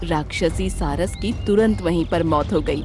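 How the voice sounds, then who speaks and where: A woman's voice: fairly low pitch, a little slow, music in the background. A woman narrating a scripted children's animal story in a dramatic storytelling style.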